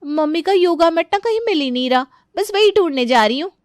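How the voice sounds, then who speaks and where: An actress playing a carefree young daughter-in-law, wheedling and childlike, in a comic family story. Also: female voice, very high voice, expressive, fairly quick, no echo, clean studio sound. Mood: breezy excuses. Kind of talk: animated family comedy story acting.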